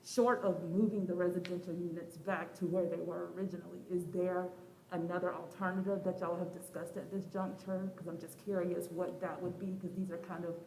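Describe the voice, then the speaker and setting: A woman's voice: on the low side, little pitch movement, a little fast, darkish tone. A woman asking staff a careful, wordy question as a commission member at a public meeting. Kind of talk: formal but conversational meeting question. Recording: roomy echo with faint background noise.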